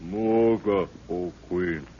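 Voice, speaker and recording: Mighty warrior prince, very deep, slow, terse grunts, old radio serial with dull sound. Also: male voice, dark tone, husky, heavily acted. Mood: solemn. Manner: few words, grunting, broken English. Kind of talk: 1930s radio acting. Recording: dull 1930s radio recording.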